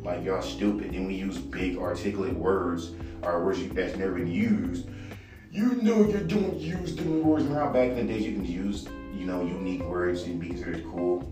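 A man with a baritone voice ranting casually and profanely as a podcast host, rambling and animated. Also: moderate pace. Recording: echoey room, far from mic, music behind.

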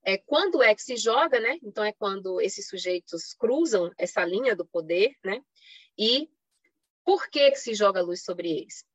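A woman's voice: mid-range voice, expressive, normal speed, no echo. A woman with a Brazilian Portuguese accent giving an explanatory academic talk online, often posing rhetorical questions and checking in with short tags. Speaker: academic panelist giving a presentation. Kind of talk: academic online lecture with slides, semi-improvised. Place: online live stream. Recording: slightly dull lo-fi online call audio.

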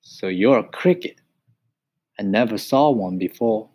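A man's voice: on the low side, animated, a little slow, mellow, breathy edge. A man voicing a curious, streetwise male mouse who talks in an eager, chatty way, for a storybook reading. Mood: admiring.

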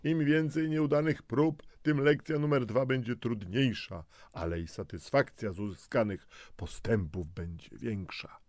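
A man's voice: medium pitch, measured pace, a little mellow, no room sound. A man giving semi-scripted motivational narration, coaxing and instructing the listener with rhetorical questions. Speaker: host of a health and lifestyle audio programme.

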